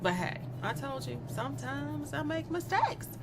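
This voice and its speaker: Woman acting a taunting, flippant young female killer, animated delivery. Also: high-pitched, unhurried, over loud music, heavily acted. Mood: flippant, boastful. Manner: mocking, conversational, sardonic, giggly asides. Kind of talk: dramatic monologue in an audio horror comedy.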